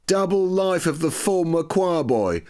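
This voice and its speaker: Guy doing a lurid tabloid headline voice, high and melodramatic, sneery, audiobook style. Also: animated, measured pace, dark tone. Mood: scandalised relish. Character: lurid, scandalised tabloid newspaper voice.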